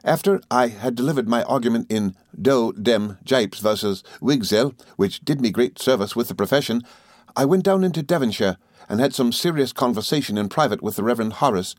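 A man's voice: mid-range voice, lively intonation, fairly quick, dry recording, studio quality, pitched above the performer's own voice, close to an everyday voice. A man voicing an earnest, cheerful, modest young lawyer in an audiobook, hesitant and effusively polite as he confides. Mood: earnest, rambling. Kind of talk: audiobook character dialogue.

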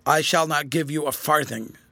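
A man's voice: a little high, normal speed, sharp tone, no room sound, studio quality. A man voicing a stern, hot-tempered old general with a formal, imperious manner in a storybook reading. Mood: stern, irritated. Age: older.

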